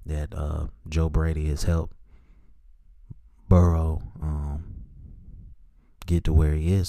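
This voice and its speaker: A man delivers solo sports commentary as a podcast host. His voice sits low, with a dark, mellow tone. He talks casually and conversationally while giving his opinions, and the sound is very dry, with almost no room in it. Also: no room sound.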